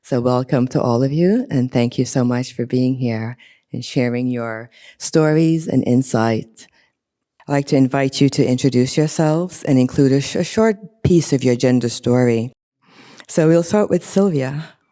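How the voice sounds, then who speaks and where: A woman's voice: a bass voice, a little slow, warm tone. A woman moderating a panel, introducing speakers graciously with light humor.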